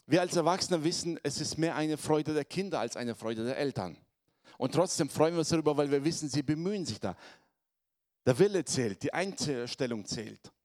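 A man's voice: on the high side, lively intonation, normal speed, a little mellow. Man with a cold preaching a sermon. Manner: conversational, addresses congregation with tag questions. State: has a cold, voice affected. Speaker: pastor.

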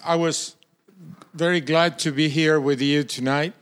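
A man from Argentina speaks English, his voice smooth and clear. He is a guest pastor, relaxed and joking, halting now and then as he searches for words. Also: on the high side. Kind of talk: sermon with personal stories.

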